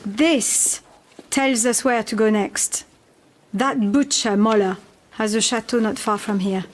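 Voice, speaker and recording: An actress playing a purposeful, businesslike wartime resistance fighter in a video game. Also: female voice, fairly high pitch, takes it slowly. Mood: urgent. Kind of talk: cinematic game dialogue.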